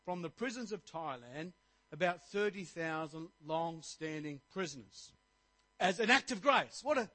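Animated pastor preaching. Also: male voice, a little high, a little slow, dry recording. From Australia. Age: middle-aged. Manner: animated preaching, rising to emphatic points. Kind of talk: sermon.

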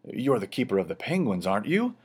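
Admiral Drake, a man doing a booming hearty admiral. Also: sing-song, brisk, husky. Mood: hearty commanding. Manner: loud, booming, commanding.

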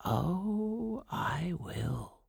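Woman acting a slow, sly wicked old fairy. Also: extremely low pitch, big pitch swings, creaky, a bit bright, breathy edge, no room sound, clean studio sound, full character voice. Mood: sly, falsely kind.

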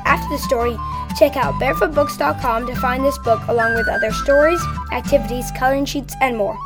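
A woman's voice: high voice, big pitch swings, a little fast. A woman hosting a children's podcast, reading a scripted introduction and sign-off. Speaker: podcast host. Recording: music bed behind the voice.

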